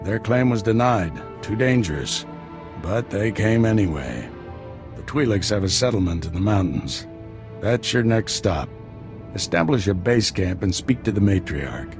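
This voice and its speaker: Deep, seasoned Jedi mentor. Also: male voice, lively intonation, a little slow, over music. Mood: calm, frank. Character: seasoned male Jedi master mentoring his apprentice, calm and frank. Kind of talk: video game voice acting.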